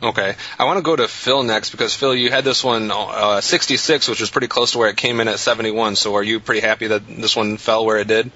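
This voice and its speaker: Male moderator, low bright voice, conversational, putting things to the panel, slightly muffled audio. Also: steady tone, quick pace, hardly any pauses, breathy edge. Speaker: podcast host moderating a panel. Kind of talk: casual discussion. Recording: dull lo-fi podcast audio.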